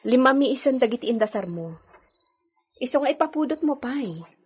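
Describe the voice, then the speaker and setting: A radio drama character: the proud, suspicious wife of a wealthy landowner, played by a woman. Her voice sits fairly high and, over faint noise and clicks. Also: lively intonation, brisk. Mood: impatient. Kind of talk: Ilocano radio drama acting.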